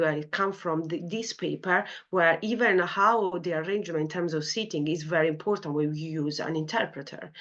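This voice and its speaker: A woman's voice: fairly low pitch, highs cut off. Italian-accented woman giving an academic talk. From Italy. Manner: frequent fillers like "you know, like" and "uh". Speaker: senior lecturer in clinical psychology. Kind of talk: academic conference talk with slides.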